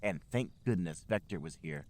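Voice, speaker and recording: Animated low-voiced man reading aloud. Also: moderate pace. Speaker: podcast co-host and narrator. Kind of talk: reading amateur fan fiction aloud.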